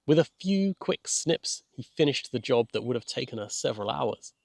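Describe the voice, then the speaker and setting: Male storyteller, medium pitch, gentle measured read of a children's novel, very little room sound. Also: expressive, creaky, no echo, clean studio sound. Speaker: author and narrator. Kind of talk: scripted children's audiobook narration.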